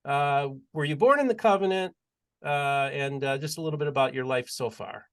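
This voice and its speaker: A man's voice: a little high, lively intonation, moderate pace, clean tone. A man hosting a podcast interview, chatty and leading the talk with long, rambling questions. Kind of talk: casual interview chat.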